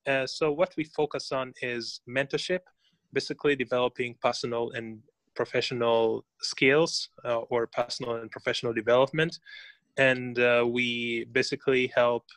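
Kenyan man, deliberate, dry close sound. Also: mid-range voice, little pitch movement, fairly bright tone, dry recording. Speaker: podcast guest, founder and student. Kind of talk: conversational self-introduction.

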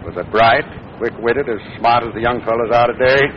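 An American actor playing a kindly older father in a measured, thoughtful way in 1940s radio drama. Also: medium pitch, steady tone, lightly acted. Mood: mildly puzzled. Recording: muffled, noisy 1940s radio recording.

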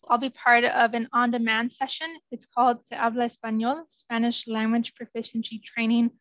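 A woman genetic counselor talks earnestly about her research, often softening her points. Her voice is a little high and stays flat in pitch. The sound is dull and dry, like a remote line. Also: normal speed, no echo. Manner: earnest, hedges with "I think". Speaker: interview guest, genetic counselor. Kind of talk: casual interview answers.

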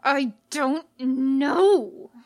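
A woman voicing an injured, wary male skeleton monster in a story, halting and snappish with a croak. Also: high voice, voice pushed up. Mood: defiant, shaky. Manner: halting stammer, growling when frustrated.

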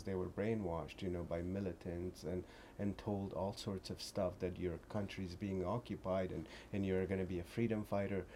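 A man in his forties from Afghanistan, speaking as an interview guest in an earnest, halting way with many restarts. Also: deep voice, dark tone, slight background noise. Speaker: shop owner, guest. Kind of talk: interview conversation.

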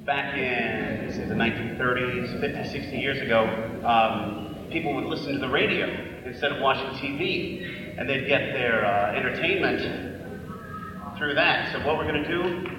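A man's voice: medium pitch, normal speed, background noise. Echoey, distant man presenting live. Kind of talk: informal spoken introduction to an audience. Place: live event in a hall. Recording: very echoey hall, distant microphone.

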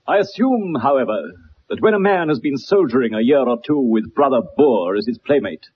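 Guy as sharp-minded detective, precise n curious, lively pitch, old muffled radio show w/ bit of hiss. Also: close to an everyday voice. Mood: inquisitive. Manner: measured, precise, inquisitive. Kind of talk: 1960s radio drama acting.

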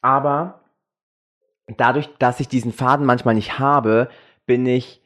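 Young man, German-born, casual podcast chat, frank and crude, English slang mixed in, mid-pitched voice. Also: fairly even intonation, unhurried, fairly bright tone. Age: young adult. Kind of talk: casual, candid group chat.

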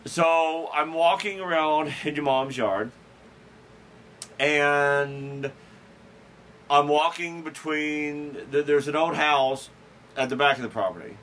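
Bright-voiced man telling a rambling story. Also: on the high side, takes it slowly, long pauses, slight background noise. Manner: rambling, self-deprecating, chuckles through stories; frequent restarts and fillers. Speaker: podcast host. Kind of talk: casual storytelling chat.

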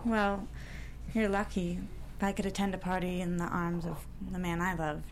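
A woman's voice: lowish voice, little pitch movement, normal speed, a little hiss or hum, close to an everyday voice. A woman playing an eager, spirited young heiress in a dramatic table read. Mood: sociable.

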